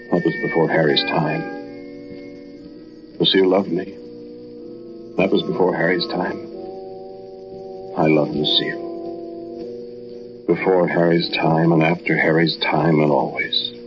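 An actor playing a brooding, jealous murderer, narrating with deliberate intensity in a 1940s radio drama. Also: male voice, deep voice, steady tone. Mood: brooding confession. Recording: muffled 1940s radio recording, loud music behind.

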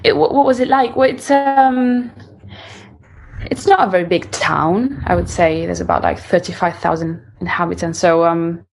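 Young Italian-accented woman, slightly low voice. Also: normal speed. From northern Italy. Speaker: singer-songwriter being interviewed. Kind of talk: casual interview conversation.

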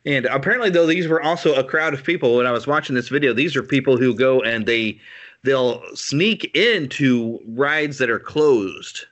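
A man's voice: medium pitch, moderate pace, fairly bright tone, lo-fi sound. A man hosting a casual chat, a bit congested in the nose, laughing often.

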